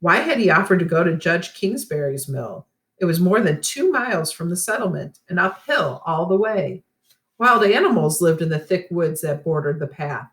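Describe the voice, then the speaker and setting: A woman reading a children's storybook aloud like a teacher, with expressive and deliberate phrasing. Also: lowish voice, roomy sound.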